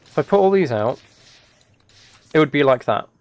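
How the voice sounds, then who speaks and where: A man's voice: on the high side, animated, moderate pace, no room sound. A man giving casual gaming livestream commentary, thinking aloud and correcting himself as he chats with viewers. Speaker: live streamer.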